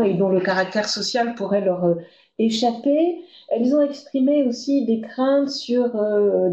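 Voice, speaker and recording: A woman giving a semi-improvised expert presentation on a web conference, halting often with drawn-out hesitations. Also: mid-range voice, mellow. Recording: dull, echoey web conference audio.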